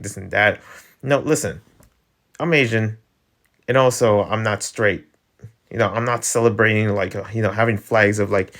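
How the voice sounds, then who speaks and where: A man's voice: on the low side, fairly bright tone. Rambling East Coast American guy. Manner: rambling, stammering restarts, frequent laughs. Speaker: channel host. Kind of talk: casual rant vlog.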